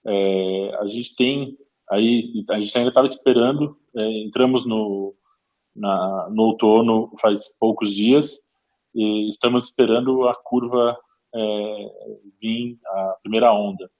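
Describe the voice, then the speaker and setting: A Brazilian doctor, a man whose first language is Portuguese, speaks haltingly in a video conference and repeats words as he goes. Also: lowish voice, fairly even intonation, muffled recording. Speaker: foreign doctor asking a question.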